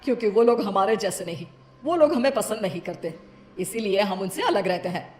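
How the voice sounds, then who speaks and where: A woman's voice: medium pitch, fairly quick, darkish tone, roomy sound, natural voice. A woman playing a stern, protective older transgender mother figure in a cartoon story, speaking in a firm, scolding way. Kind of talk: cartoon moral story acting.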